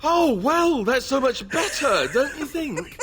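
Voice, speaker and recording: A man's voice: very high voice, sing-song, slow pace, a bit bright, a little hiss or hum, heavily acted. A man voicing a young warrior in a video game, wry and earnest, an awkward reluctant leader. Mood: incredulous, sarcastic. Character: young male warrior, awkward, sardonic, reluctant heir turned rallying leader. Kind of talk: video game dialogue acting.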